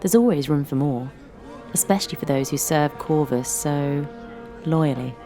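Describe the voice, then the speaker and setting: An actress playing a sly, taunting tavern intruder in a fantasy audio drama, all mock sweetness. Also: female voice, deep voice, normal speed. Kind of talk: theatrical audio drama acting. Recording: loud music behind.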